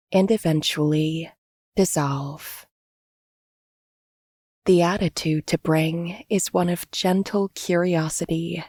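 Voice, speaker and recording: A woman gently guiding a body scan meditation in an unhurried, scripted, instructional way. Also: sits low, no echo, studio quality. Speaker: meditation guide.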